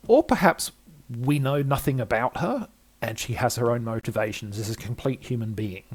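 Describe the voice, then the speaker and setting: A man's voice: medium pitch, lively intonation, normal speed. An older man doing gameplay commentary, reading story text aloud with hesitations and small asides.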